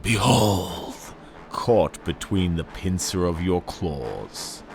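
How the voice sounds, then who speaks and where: A man reading a dramatic second-person story excerpt in a grave, theatrical, deliberate manner. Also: sits low, big pitch swings, gravelly, noisy background. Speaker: narrator reading a novel excerpt. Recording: dramatic music under the voice. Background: cinematic soundtrack music.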